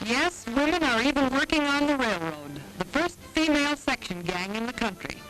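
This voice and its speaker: Female 1940s newsreel narrator, wry and playful, very animated, loud musical backing. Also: sits high, normal speed, over music, poor audio.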